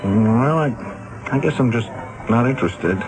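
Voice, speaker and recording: An actor playing a shy college senior of twenty, halting and deferential, in a 1970s radio drama. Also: male voice, medium pitch, expressive, rough recording. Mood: awkward, polite. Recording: vintage radio drama with music.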